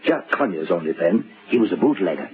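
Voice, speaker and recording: An actor playing a jovial, talkative bartender in an old radio drama. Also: male voice, low voice, big pitch swings, fairly quick, faint music behind. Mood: confiding. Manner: jovial, garrulous. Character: chummy, talkative bartender with a shady past. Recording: tinny vintage radio recording.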